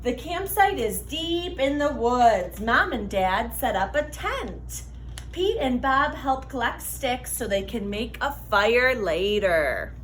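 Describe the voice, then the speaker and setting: A woman reading a children's picture book aloud in an animated, deliberate way for young listeners. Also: on the high side, reverberant, a little hiss or hum. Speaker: read-aloud storyteller for children.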